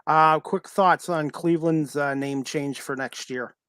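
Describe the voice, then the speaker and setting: A man in his forties hosting a casual sports talk show, speaking in a halting but keen fan's manner. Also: fairly high pitch, steady tone, fairly bright tone, clear, resonant tone, dry recording. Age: 40s.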